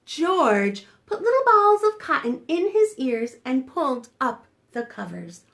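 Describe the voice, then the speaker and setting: A woman's voice: sits high, big pitch swings, a little slow, a bit bright. A woman hosting a preschool storytime, reading aloud expressively and coaxing young listeners with questions. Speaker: children's librarian, storytime host. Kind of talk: animated read-aloud for preschoolers.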